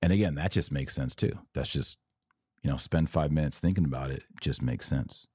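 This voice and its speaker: Deep-voiced American man, close dry sound. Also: brisk, warm tone, husky, dry recording. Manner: emphatic, repeats key phrases, rhetorical questions. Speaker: host of a religious podcast. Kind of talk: informal religious commentary to online viewers.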